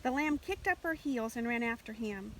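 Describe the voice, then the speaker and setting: A woman narrates a children's story in a fairly high voice. Her reading is expressive, as for a picture book, and there is faint noise behind her. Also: moderate pace, poor audio. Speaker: storybook reader.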